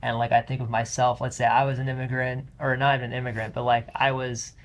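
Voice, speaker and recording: A man's voice: mid-range voice, flat delivery, fast talker. Reflective young man, chatty fillers. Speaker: student guest. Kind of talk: casual discussion.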